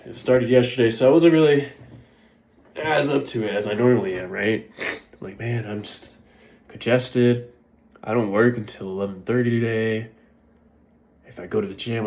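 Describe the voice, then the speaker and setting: A man's voice: lowish voice, darkish tone, muffled recording. A congested man talking casually to his viewers about motivation, often checking in with "right?". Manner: conversational, keeps asking "right?". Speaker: vlogger. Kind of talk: casual motivational talk to camera.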